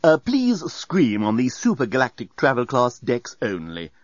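A snobbish, officious robot servant from a comic storybook, acted out by a man. He speaks with cold, stiff formality and sniffy disdain. The pitch swoops about and the recording is dry. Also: wildly swooping pitch, normal speed, no echo, dull audio.

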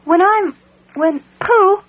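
Storybook child: small boy, high voice, kind, dreamy, earnest and halting. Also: a bit bright, lo-fi sound, exaggerated voice. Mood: earnest, hesitant.

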